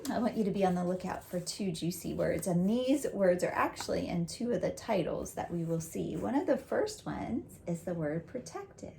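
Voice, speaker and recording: Creaky-voiced woman teaching young children. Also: on the low side, darkish tone, slight background noise. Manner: encouraging, explains simply with check-in questions. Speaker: teacher reading aloud to young students. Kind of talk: read-aloud lesson for children.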